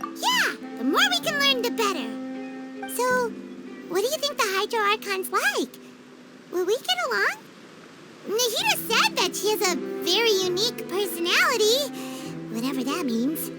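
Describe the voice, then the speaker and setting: A chirpy, excitable little floating fairy, squeaky and very high, her voice bouncing up and down with lively swings. She chatters about herself in the third person in a game cutscene. A full game score plays loudly behind her. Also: normal speed. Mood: excited. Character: tiny floating fairy companion, excitable, girlish. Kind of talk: video game voice acting. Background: game score music.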